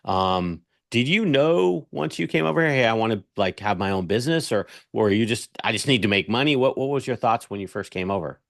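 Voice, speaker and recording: A man's voice: lowish voice, lively intonation, fairly quick, creaky, few pauses, no echo, clean studio sound. A man hosting a podcast, asking long, upbeat questions. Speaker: podcast host. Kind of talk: podcast interview.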